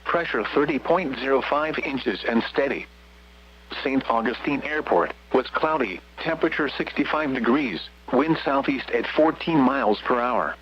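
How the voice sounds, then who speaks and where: An American man's synthetic voice reading a scripted weather radio bulletin in a flat, measured way. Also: mid-range voice. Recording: muffled, noisy weather radio broadcast with hum.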